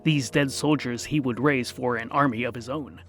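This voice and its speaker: A man's voice: medium pitch, steady tone, moderate pace. A man narrating a scripted comic fantasy story in a theatrical, mock-epic manner. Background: music.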